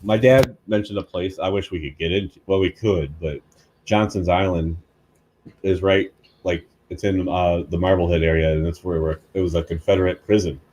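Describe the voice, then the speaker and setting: A man on a guest panel, telling stories in a dry, joking way with a husky voice. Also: low-pitched, fairly even intonation, normal speed, a little mellow. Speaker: guest investigator. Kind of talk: casual chat.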